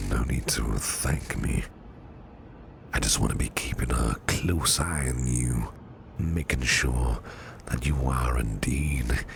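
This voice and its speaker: An extremely low, crackling voice for a protective, gently flirtatious businessman boss acted by a man in an ASMR roleplay. He speaks slowly in a soft, breathy murmur, often half-whispering, courteous and soothing. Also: heavily acted. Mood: caring, reassuring. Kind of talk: ASMR personal attention roleplay.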